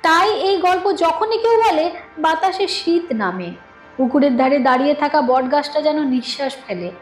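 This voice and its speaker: High-pitched woman narrating suspense, echoing. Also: lively intonation, moderate pace. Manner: dramatic, storytelling delivery. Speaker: narrator of a horror audio story. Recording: echoing studio voice with music underneath. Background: background music.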